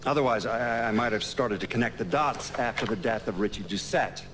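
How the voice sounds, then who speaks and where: An actor playing a male federal agent who calmly recalls past events in a measured, documentary-style way. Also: mid-range voice, background noise, lightly acted. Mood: reflective. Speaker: government agent giving testimony.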